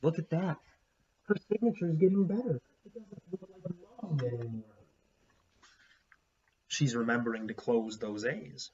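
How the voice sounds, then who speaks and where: A man reads a children's story aloud as a teacher would, in a slightly high, husky voice. His phrasing is expressive and emphatic for young listeners, and he pauses to add explanations. The recording sounds a little dull. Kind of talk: animated read-aloud with explanatory asides.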